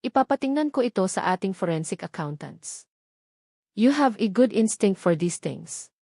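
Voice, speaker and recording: A woman with a Filipino accent voicing a composed, persuasive male investigator in an audio drama, calm but emphatic. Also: mid-range voice, moderate pace, dry recording, studio quality. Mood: approving.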